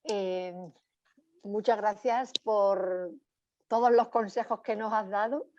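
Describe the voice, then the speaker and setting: A woman asking a spontaneous question in a hesitant, halting way, on a dull lo-fi recording. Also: mid-range voice, steady tone, a little mellow, no room sound. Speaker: audience member asking a question. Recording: dull lo-fi sound.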